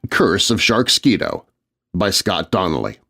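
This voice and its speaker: A man's voice: low voice, wildly swooping pitch, unhurried, creaky, pushed and bright, soft background music. An American man narrating a scripted scary story for children in a dramatic, suspenseful manner.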